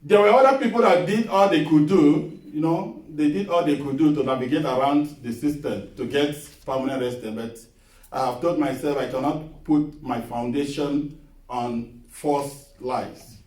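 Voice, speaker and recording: A middle-aged man preaching a sermon in a small church, earnest and measured, repeating his key phrases as he tells a personal testimony. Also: a little high, a little mellow. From Nigeria. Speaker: guest preacher. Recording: echoey hall, voice picked up from a distance.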